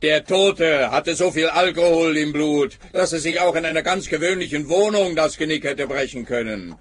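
Guy doing a smug cop for a radio play, raspy and a bit high, talks down to you. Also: normal speed, natural voice. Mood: smug, dismissive. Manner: officious, condescending. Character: smug, dismissive male police officer, happy with easy answers.

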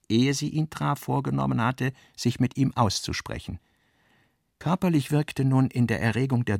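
A man's voice: mid-range voice, gravelly, no echo, clean studio sound. A man reading literary narration for a radio drama in a scripted style.

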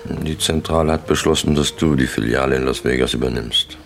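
An actor playing a ruthless, businesslike crime syndicate boss in a 1960s German radio play, imperious and lecturing in clipped, matter-of-fact statements. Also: male voice, very deep voice, brisk, gravelly, soft background music. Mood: cool, commanding.

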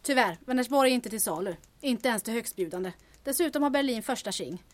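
An actress playing a sharp-tongued secretary in an audio drama, curt and dry, with a sarcastic edge. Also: female voice, a little high, expressive, lightly acted. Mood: dry, dismissive.

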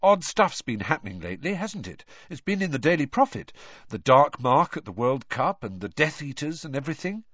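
A man's voice: fairly high pitch, big pitch swings, brisk, a bit bright, no echo, highs cut off, voice pushed up. A man voicing a polite, timid schoolboy wizard for an audiobook, hesitant and tentative as he speaks. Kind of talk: audiobook character voice.

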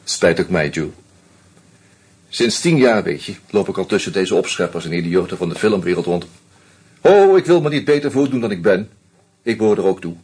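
An actor in a radio play voicing a shrewd, sardonic male investigator who lays out his case deliberately. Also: deep voice, animated, a little mellow, slightly rough. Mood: cool. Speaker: detective confronting a killer. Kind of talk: radio drama acting.

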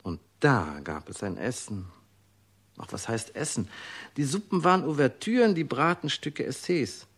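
An actor playing a rapturous, rambling gourmet who narrates a radio drama in an effusive, musing, theatrical way. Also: male voice, mid-range voice, lively intonation, normal speed, slightly rough. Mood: musing, intrigued. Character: rapturous, rambling gourmet storyteller savouring his memories.

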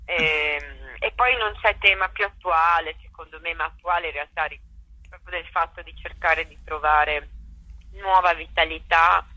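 A woman's voice: low voice, measured pace, pushed and bright, no echo, poor audio. A woman talking casually and effusively in an interview as a guest, searching for words and checking she is followed. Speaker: guest, actress presenting a stage show. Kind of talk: casual spontaneous interview talk.

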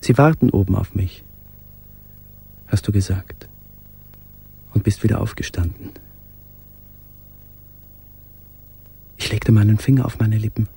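An actor playing a brooding, tender musician in a literary radio drama, speaking in an intimate, measured way with a husky voice. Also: male voice, fairly low pitch, dark tone, dry recording, exaggerated voice. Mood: tender, wistful. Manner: intimate, measured, sometimes dropping to a whisper. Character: brooding, tender male musician writing to his beloved. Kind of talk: literary radio drama monologue with recited verse.

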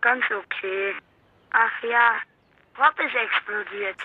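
A woman's voice: fairly high pitch, slow, breathy edge. Boy on a tinny phone. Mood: casual. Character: excitable ordinary young boy, brother. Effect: telephone filter. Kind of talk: children's radio play acting. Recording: tinny, hissy phone line.

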